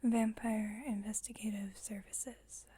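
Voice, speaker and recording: A woman playing a composed, formal vampire coven leader in an ASMR roleplay, whispering softly in a measured, instructive, gently wry way. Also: mid-range voice, little pitch movement. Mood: calm, formal. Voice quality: breathy, whispered, soft.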